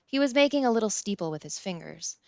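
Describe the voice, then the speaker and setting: A woman's voice: mid-range voice, lively intonation, fast, creaky, no echo. A woman reading scripted first-person fiction as an audiobook narrator. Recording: slightly dull, dry close recording.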